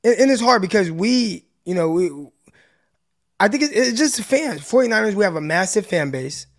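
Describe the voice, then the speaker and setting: A man with a raspy voice chatting casually as co-host of a sports podcast. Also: high voice, lively intonation, normal speed.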